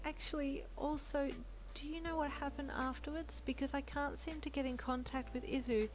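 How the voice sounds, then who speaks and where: A woman reading a story, voicing a young pregnant woman who is emotional and grateful, in a soft, tearful way. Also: high-pitched, steady tone, moderate pace, voice pushed up, lightly acted, rough recording. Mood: worried, soft.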